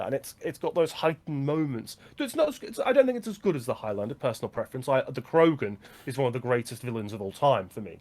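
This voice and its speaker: A man co-hosting a film podcast, chatting casually with quick asides and frequent laughs. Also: medium pitch, a little mellow. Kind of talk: casual chat.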